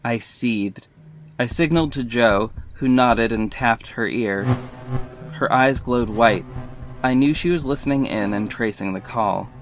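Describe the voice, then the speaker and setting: A man's voice: medium pitch, a little slow, faint music behind, lightly acted. An actor playing a sassy, defiant male hero who narrates his own story in an audio drama, with dramatic, pointed delivery. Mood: measured suspense. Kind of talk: first-person audio drama narration and dialogue.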